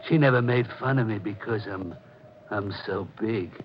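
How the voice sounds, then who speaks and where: A big, lonely, slow-witted man played by a male radio actor, low-voiced and childlike. He speaks haltingly in simple phrases. The old radio recording is muffled, with faint noise. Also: natural voice. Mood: dazed, longing. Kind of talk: 1940s radio drama acting. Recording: dull, noisy 1940s radio recording.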